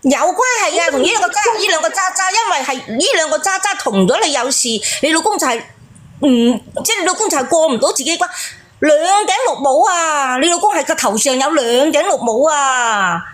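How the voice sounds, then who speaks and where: A woman's voice: high voice, lively intonation, fairly quick, fairly bright tone, a little hiss or hum. A woman co-hosting a call-in show, giving unscripted advice in an insistent, scolding way and repeating her points. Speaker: co-host of a relationship call-in show.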